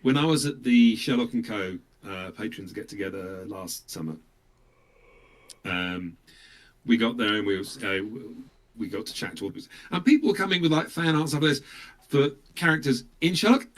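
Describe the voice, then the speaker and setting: A middle-aged man chatting earnestly and a little haltingly as an interview guest. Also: fairly low pitch, expressive, a bit bright. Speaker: voice actor, podcast guest. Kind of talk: casual interview chat.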